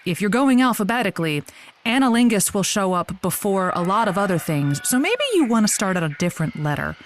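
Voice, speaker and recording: A woman acting as a breezy advice host whose pitch moves in lively swings. She is encouraging and playful, stretching her phrases on an improvised comedy call-in show, with some noise behind her. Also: fairly quick, lightly acted. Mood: encouraging, musing.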